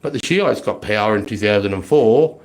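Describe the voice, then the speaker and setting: A man in his forties with a lowish voice that sounds slightly worn from a flu. He answers a live stream's questions in a loose, rambling way, blunt and full of swearing. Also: animated, moderate pace, darkish tone, poor audio. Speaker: livestreamer answering viewer questions. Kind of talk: casual live Q&A chat.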